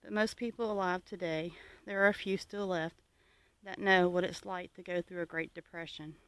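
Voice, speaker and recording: Homestead vlogger from the us, earnest n plainspoken, kinda giving advice, dry sound no echo, medium pitch. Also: steady tone, normal speed, a bit bright, clear, resonant tone. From United States. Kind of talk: informal reflective monologue to viewers.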